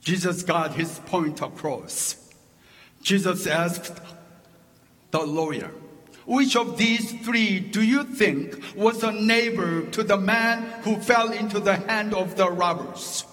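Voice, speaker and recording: Korean-accented man, pastor preaching, high voice, unhurried delivery, reverberant church sanctuary. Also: a bit bright. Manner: slow, deliberate preaching with emphatic lists. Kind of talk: sermon.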